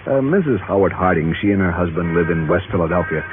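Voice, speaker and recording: A man's voice: deep voice, lively intonation, quick pace, over music. An actor playing a fussy, earnest, worrying American insurance man in a 1950s radio drama. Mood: earnest, worried. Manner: fussy, earnest, flustered, precise. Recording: tinny noisy 1950s radio recording.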